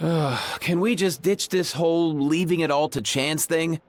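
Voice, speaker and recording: An actor voicing an easygoing, teasing young animal vet in a game, who laughs often. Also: male voice, a little high, a little slow, lightly acted. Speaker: dragon vet. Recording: game cutscene with music behind.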